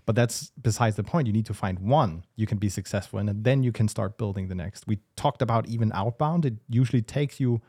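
A man's voice: lowish voice, a little fast, hardly any pauses, dark tone, breathy edge, no echo, studio quality. A man co-hosting a business podcast, making points with joking asides. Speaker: podcast co-host. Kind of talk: casual business chat.

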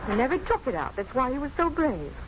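Earnest loyal wife, emotional, very expressive pitch, old muffled radio drama. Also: female voice, fast talker. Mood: fragile, emotional. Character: devoted, emotional, resolute doctor's wife. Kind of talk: 1950s radio drama acting. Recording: muffled, noisy 1950s radio recording.

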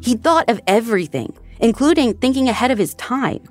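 A woman's voice: a little high, lively intonation, a little fast, faint music behind. A woman hosting a true crime podcast, narrating the case in a conversational way and speaking directly to her listeners. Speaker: podcast host.

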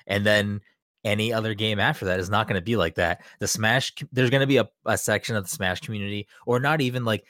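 A man chatting on a podcast, rambling eagerly with lots of fillers and quick laughs. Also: lowish voice, fairly even intonation, a bit bright, no room sound, clean studio sound. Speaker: podcast co-host. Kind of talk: casual chat.

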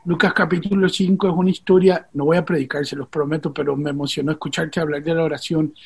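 A man's voice: fairly high pitch, fairly even intonation, fast, creaky, darkish tone, husky. A man, born in Venezuela and raised in Rico, hosting the conversation and telling a story in an earnest, heartfelt way. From Venezuela, raised in Puerto Rico. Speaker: host.

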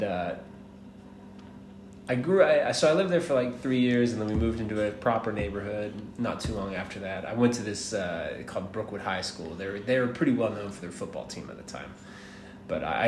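A man's voice: lowish voice, a little fast. A man in his thirties from the United States, talking as a guest in a casual interview, laid-back and rambling, laughing now and then and swearing casually. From United States, born in Miami, raised in Atlanta. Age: 30s. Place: hostel. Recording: roomy echo with clear background noise.

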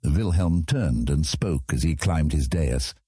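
A man narrating a fantasy audiobook in a measured, dramatic storytelling manner. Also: a bass voice, lively intonation, warm tone, slightly rough, no room sound, clean studio sound.

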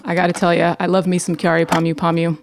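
A woman co-hosting a casual podcast chat about favorite albums, enthusiastic and relaxed. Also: on the low side, quick pace, loud music behind the voice. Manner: enthusiastic, relaxed, laughs easily.

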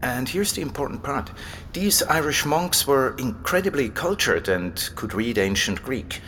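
A man's voice: mid-range voice, expressive, a little slow, a bit bright, slight background noise. A man acting in an audio drama as an erudite, enthusiastic abbey librarian, explaining things like an eager scholar. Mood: keen explaining. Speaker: library guide and manuscript expert.

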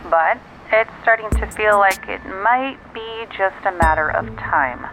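A woman's voice: medium pitch, animated, unhurried, music in the background. A woman playing a frank, dry-witted friend delivering bad news over a phone line in an audio drama, matter-of-fact and pointed. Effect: phone filter. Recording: dull, band-limited phone line.

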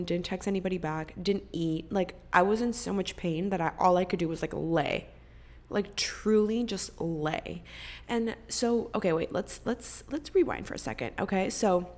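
A woman's voice: on the low side, gravelly, a little mellow. A young woman hosting a podcast, chatting casually and rambling, swearing freely, and sounding congested. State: congested, coughing. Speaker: podcast host. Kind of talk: casual chat.

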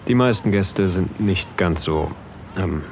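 A man playing a tall, confident, charming yacht deckhand in an intimate audio drama, quietly flirtatious and unhurried. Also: low voice, slight background noise. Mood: friendly, teasing.

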